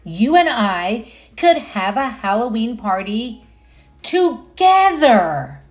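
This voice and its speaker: A woman voicing an excited, kindhearted little boy mouse in a storybook, eagerly stretching out key words. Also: fairly high pitch, takes it slowly, soft background music.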